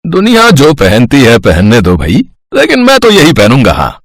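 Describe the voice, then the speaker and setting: A man voicing a cheerful, stubborn old-fashioned father in a cartoon, husky and emphatic. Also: mid-range voice, wildly swooping pitch, moderate pace, no room sound, clean studio sound. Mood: stubborn, jovial.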